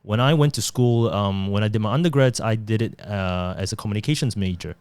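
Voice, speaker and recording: Middle-aged male guest, low smooth voice, relaxed and chatty, dry close sound. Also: fairly quick, no room sound. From born in Taiwan, raised in Singapore. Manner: relaxed, chatty, self-deprecating. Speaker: podcast guest, digital marketer. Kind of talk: casual interview conversation.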